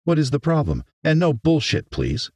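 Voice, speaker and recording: A man's voice: a little high, huge pitch swings, moderate pace, darkish tone, husky, no room sound, studio quality, pitched above the performer's own voice, heavily acted. Male narrator as woman mayor, firm, plain-spoken, commanding, audiobook character. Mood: stern. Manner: blunt, commanding.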